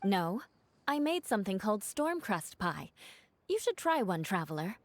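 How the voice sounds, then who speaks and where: Woman as a cool, lively-toned young noblewoman. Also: medium pitch, normal speed, no echo, close to an everyday voice. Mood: dryly amused. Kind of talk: English game voice acting.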